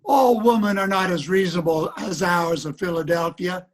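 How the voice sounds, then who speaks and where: A man's voice: high-pitched, normal speed. A man playing a pompous, disapproving nineteenth-century newspaper writer in a declamatory stage reading. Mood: smug, pompous. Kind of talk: reader's theater recitation.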